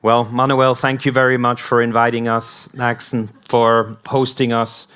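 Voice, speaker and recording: Man, American accent, conference speaker, unhurried and rhetorical. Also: medium pitch, level tone, fairly bright tone, smooth. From Hong Kong. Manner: slow, rhetorical, repeating "what if" questions. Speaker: company founder giving an event talk. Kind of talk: conference speech.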